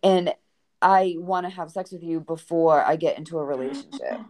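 A woman's voice: on the low side, normal speed. A woman with a Boston accent, a guest author telling dating stories in a podcast conversation and acting out the lines of the people in them. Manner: animated storyteller who acts out quoted dialogue.

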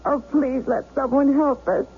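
On a dull, slightly noisy radio recording, a frightened woman bank manager held hostage. Her high voice is halting and pleading, in full 1970s radio drama style. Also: fairly even intonation. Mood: imploring.

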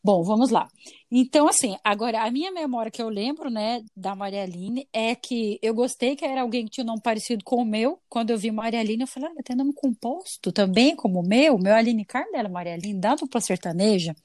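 A woman's voice: a little high, a little fast, a little mellow, dry recording, studio quality. A Brazilian woman in her thirties with a mixed Brazilian accent, hosting a casual podcast chat with playful asides. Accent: mixed Brazilian Portuguese. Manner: chatty, laughing, playful asides. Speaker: podcast host.

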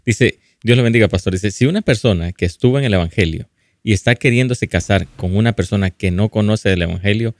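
Calm low-voiced male co-host. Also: a little fast, no echo, clean studio sound. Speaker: co-host reading viewer questions.

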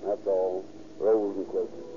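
A man's voice: low-pitched, steady tone, slow. A man playing a businesslike police dispatcher on a 1930s radio program. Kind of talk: flat procedural police broadcast, 1930s. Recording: muffled, noisy old radio recording.

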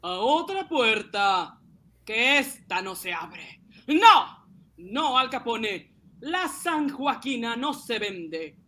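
A woman's voice: on the high side, sing-song, extremely slow, bright, forceful tone, full character voice. A woman playing a proud, indignant owner of a small national factory in a radio drama, protesting emphatically. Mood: indignant, defiant.

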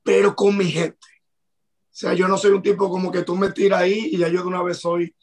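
A man with a Dominican Spanish accent and a high voice talks in a relaxed, storytelling way. He is one of the regulars on a casual panel chat. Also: moderate pace. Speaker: podcast panelist.

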